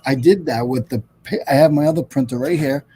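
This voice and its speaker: A man's voice: mid-range voice, brisk, warm tone, slight background noise. A man hosting a casual live video hangout, rambling and restarting his sentences as he chats over a video call. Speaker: podcast host.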